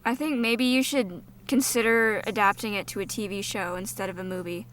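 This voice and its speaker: Female co-host, bright tense tone, dry and sardonic, faint hum behind. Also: medium pitch, steady tone, a little fast. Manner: acts out quoted reactions, sardonic. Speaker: podcast co-host. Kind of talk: casual podcast chat.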